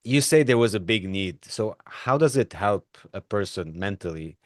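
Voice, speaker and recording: A low-voiced man interviews his guest with curious, probing follow-up questions. The recording is dry and close. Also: animated, moderate pace, dry recording.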